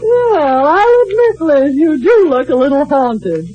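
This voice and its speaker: A woman playing a fussy, insistent society wife in 1950s radio comedy, with an American accent and theatrical, drawn-out phrasing. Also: high voice, big pitch swings, a crowd in the background. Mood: placating. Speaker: radio sitcom supporting actor. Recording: dull old radio recording, loud audience.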